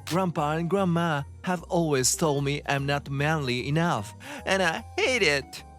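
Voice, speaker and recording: Sweet, timid young boy, played by a woman, soft and hesitant, lively pitch, manga dub. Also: unhurried, soft background music. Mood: hesitant, sad.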